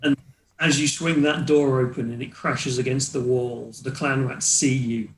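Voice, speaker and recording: A man narrating a tabletop game as game master, improvising and halting as he searches for words. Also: mid-range voice, steady tone, a little hiss or hum. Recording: roomy, echoing room sound.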